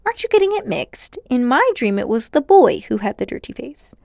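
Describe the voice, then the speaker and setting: Woman voicing a dreamy, sensible young storybook girl, high-pitched. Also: very animated, fairly quick, vocal fry, a little mellow, no echo, studio quality. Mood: questioning.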